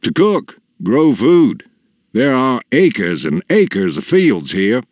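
A man's voice: medium pitch, big pitch swings, slow, a little mellow, no room sound, studio quality, voice pushed up. A man voicing a small, brave storybook villager who is earnest and persuasive. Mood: gentle, encouraging. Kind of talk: storybook character voice.

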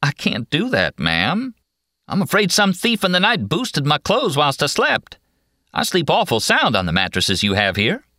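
A brash, mocking male patient in an audiobook, acted out by a man. He teases with mock innocence, his pitch leaping about freely. The sound is bright and forceful. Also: very animated, normal speed, no room sound, clean studio sound. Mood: mock tearful. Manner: singing out loud, teasing, mock-innocent.

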